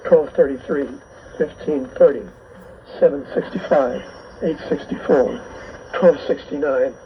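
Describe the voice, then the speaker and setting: A man hosting a radio program, reading scripted listener reports in a measured, bulletin-like way over a shortwave broadcast. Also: medium pitch, mellow. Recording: noisy, band-limited shortwave radio reception.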